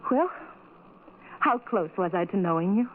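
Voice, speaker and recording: A woman's voice: mid-range voice, lively intonation, unhurried, lightly acted. An actress playing a tender, adoring young blind woman in an old-time radio drama, speaking earnestly and romantically. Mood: dreamy, adoring. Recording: tinny, noisy old radio recording.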